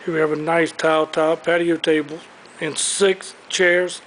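Man, fairly high voice, enthusiastic auction walkthrough, big warehouse, slight background hiss. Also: flat delivery, a little slow, fairly bright tone, rough recording. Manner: upbeat, enthusiastic, exclaiming over items. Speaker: auctioneer giving a preview walkthrough. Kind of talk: walking preview tour of sale items. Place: large indoor auction warehouse. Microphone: handheld camera he carries as he walks.

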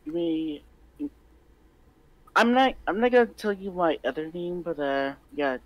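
A man improvising a newcomer slayer in a game roleplay, speaking tentatively and haltingly. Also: fairly high pitch, expressive, no room sound, highs cut off. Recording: music clearly behind the voice. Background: game music.

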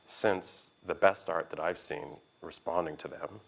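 A man in reflective conversation, speaking in a halting, thoughtful way as he searches for words. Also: low voice, fairly even intonation, gravelly, a bit bright. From United States. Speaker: art historian being interviewed.

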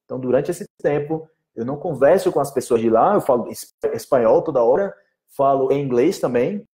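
A man, teaching in a casual live stream, animated and often checking that listeners follow. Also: mid-range voice, brisk. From Northeast Brazil. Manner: animated, gestures a lot, often asks "entendeu?". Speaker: Portuguese teacher.

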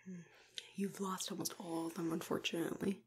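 A young woman in a soft, unhurried whisper, playing a gentle, reassuring dentist in an ASMR roleplay, close to the mic. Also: on the low side, level tone. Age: young adult. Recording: clear background noise and room ambience.

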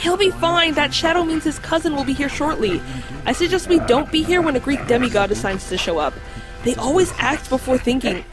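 A level-headed talking dog voiced by a woman speaks very high, in precise and formal phrases. It is audio drama, with music clearly behind. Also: animated, fairly quick. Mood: firm, practical.

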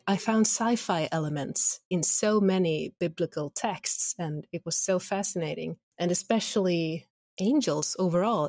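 A woman's voice: lowish voice, darkish tone, no echo, clean studio sound. A woman chatting casually as an interview guest, with a wry, playful manner. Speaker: audio drama creator, podcast guest.

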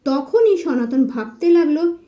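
Woman, storyteller, high pitch, mellow tone, scripted fairy-tale narration. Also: expressive, measured pace.

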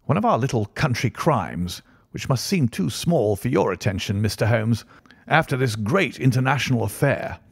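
A man voicing a hearty, unflappable country colonel with bluff, old-fashioned gentlemanly talk in an audiobook story. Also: medium pitch, expressive, normal speed, vocal fry, breathy edge, dry recording, voice pushed up. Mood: breezy, dismissive. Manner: bluff, old-fashioned upper-class phrasing.

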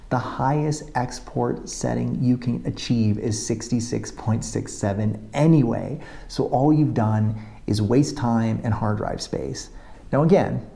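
A man's voice: medium pitch, gravelly, dark tone. A man in a video tutorial, explaining camera and export settings step by step in a methodical way, filming himself on a tripod camera. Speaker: motovlogger. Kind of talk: technical tutorial talk to camera.

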